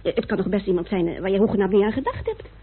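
A woman's voice: mid-range voice, lively intonation, racing, breathy edge. An actress playing a caring, argumentative woman, coaxing and insistent, in an old Dutch radio drama. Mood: insistent, questioning. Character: caring, argumentative woman reasoning with her troubled partner. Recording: muffled tinny old radio recording.

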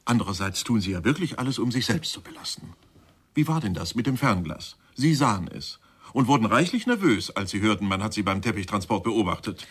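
Probing police inspector, husky low voice, measured and insinuating, dryly polite, radio crime drama. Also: male voice, sing-song, a bit bright, full character voice. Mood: insistent.

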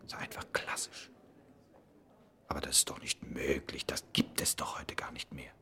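A man acting a troubled, brooding scholar's inner monologue in a radio play, with a gravelly voice. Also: a bass voice, flat delivery, normal speed, pushed and bright, in a whisper, heavily acted. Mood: intrigued, musing. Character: troubled, brooding male scholar absorbed in his thoughts.

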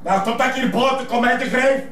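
An actor playing a domineering prison bully with a mocking, pushy Flemish delivery in a naturalistic TV drama. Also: male voice, very high-pitched, fairly quick, a bit bright, slight background noise. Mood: angry.

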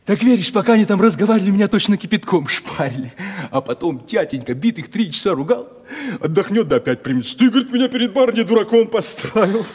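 An actor playing a browbeaten, gloomy yet boastful young merchant's son in a 1970s Russian radio play, with folksy provincial speech. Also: male voice, sits high, expressive, fast talker, a little mellow, husky. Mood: agitated, hurt. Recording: reverberant studio radio play recording.

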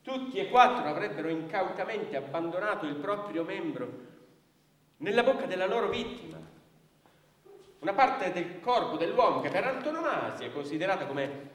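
Pompous lawyer, very echoey hall. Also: male voice, sits high, very animated, moderate pace, fairly bright tone, distant mic. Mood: smug, scornful. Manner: pompous, declamatory, rhetorical. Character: pompous defence lawyer, scornful, addressing a court. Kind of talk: theatrical courtroom oration. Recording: echoey theatre hall from afar.

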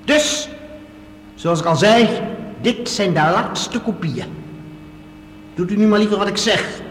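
A man's voice: high voice, slow. A man acting in a radio play as a defiant, threatening professor, theatrical and mockingly courteous. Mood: menacing, commanding. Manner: theatrical, taunting, mock-courteous. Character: defiant, threatening professor holding archive tapes hostage. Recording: echoey reverberant hall, faint hum.

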